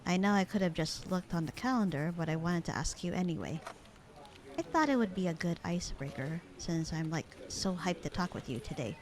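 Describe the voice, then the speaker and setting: Woman playing a bored secretary, lowish voice, deadpan, sarcastic, slightly flirty, slangy, roleplay audio drama, crowd murmur behind. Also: brisk, close to an everyday voice. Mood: dryly sarcastic. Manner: deadpan, slangy, unbothered drawl. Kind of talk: roleplay ASMR audio drama, casual conversational delivery.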